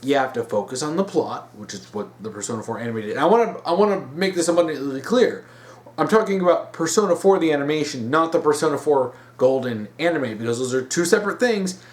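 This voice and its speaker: A man's voice: fairly high pitch, expressive, quick pace. A man giving emphatic opinion commentary as a video host, repeating words for stress.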